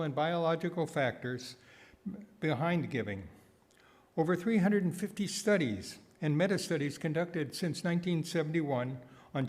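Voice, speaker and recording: A man delivering a prepared sermon with wry asides, phrasing deliberately and repeating words for emphasis. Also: a little high. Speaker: guest speaker giving a sermon, nonprofit founder.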